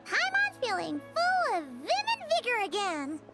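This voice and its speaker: A woman voicing a tiny, chirpy, childlike fairy companion in a video game, boastful and quick to huff, with a squeaky voice. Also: huge pitch swings, heavily acted. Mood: cheerful. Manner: speaks of herself in the third person. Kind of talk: animated video game voice acting. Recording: music playing behind the voice.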